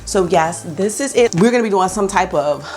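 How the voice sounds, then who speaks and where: A woman's voice: medium pitch, animated, normal speed, darkish tone, soft background music. Chatty woman vlogging from her bedroom. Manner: chatty, upbeat, addresses viewers as y'all. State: slightly scratchy early-morning voice. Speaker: beauty vlogger. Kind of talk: casual beauty vlog talk to camera. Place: bedroom at home, indoors.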